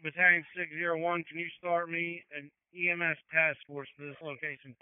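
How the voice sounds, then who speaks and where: A man's voice: fairly high pitch, level tone, brisk, smooth, no echo. A man serving as incident commander, giving terse, procedural orders over fire radio. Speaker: battalion chief, incident commander. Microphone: two-way emergency radio. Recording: tinny, narrow-band fire radio transmission.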